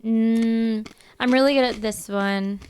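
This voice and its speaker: A woman's voice: fairly high pitch, steady tone, slow pace, a little mellow, smooth. A woman player chatting and joking casually during a tabletop game, giggly and playful.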